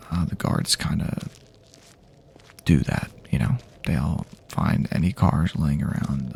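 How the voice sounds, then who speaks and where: A man playing a wary but hopeful, laid-back apocalypse survivor in a hushed, halting, rambling, partly whispered ASMR roleplay. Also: deep voice, creaky, slightly rough, heavily acted. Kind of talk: improvised ASMR roleplay, soft-spoken.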